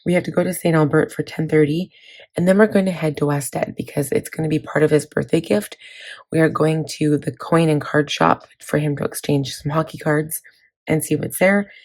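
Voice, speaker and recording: Lady with a sore hoarse throat, low voice, rambly casual chatter, lots of ums. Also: fairly even intonation, fairly quick, a little mellow. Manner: chatty, rambling, many fillers. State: hoarse from laryngitis. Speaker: planning vlogger. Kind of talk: casual chat walking through a planner.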